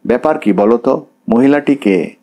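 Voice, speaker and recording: Stubborn young wife pushing her point, man reading her, mellow voice. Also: mid-range voice, lively intonation, moderate pace. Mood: insistent. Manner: insistent, questioning.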